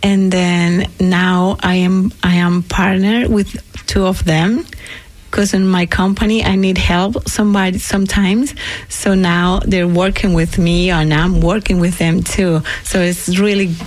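A woman who took part in the program, speaking halting non-native English in a radio interview. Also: lowish voice, little pitch movement, poor audio. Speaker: program participant.

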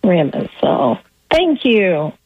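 A woman calling in to a radio show, hoarse and losing her voice, polite and thankful. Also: fairly low pitch, very animated, slow pace. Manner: polite and grateful. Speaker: caller. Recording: tinny phone line.

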